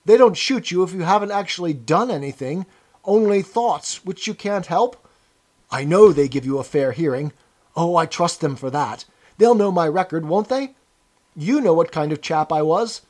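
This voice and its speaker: A man acting out a nervous, servile prisoner in an audiobook. His pitch rides high and swoops about as he eagerly pleads his case, chummy and piously self-abasing. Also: animated, normal speed, a little mellow. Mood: frightened pleading. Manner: pleading, chummy, sanctimonious, chatty old-fashioned British idiom.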